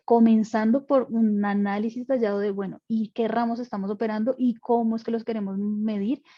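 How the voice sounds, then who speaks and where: Woman expert explaining, fairly high voice, dull lo-fi call sound, thinks aloud with restarts. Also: fairly even intonation, fairly quick. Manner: explanatory, with frequent fillers and restarts. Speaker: panelist, insurance expert. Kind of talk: technical webinar explanation.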